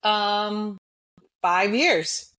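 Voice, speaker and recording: A woman's voice: medium pitch, very slow, a bit bright. Easygoing young woman guest from New York. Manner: easygoing, laughs often, mixes in Spanish words. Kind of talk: casual interview chat.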